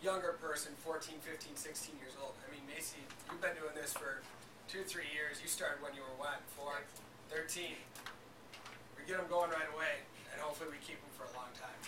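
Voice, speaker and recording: Guy on a panel, kinda high voice, chatting informally, roomy echo. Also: steady tone, a little fast. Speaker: youth group adviser on a panel. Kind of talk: informal explanatory panel talk. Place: indoor conference workshop room. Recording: echoey, noisy room, distant microphone.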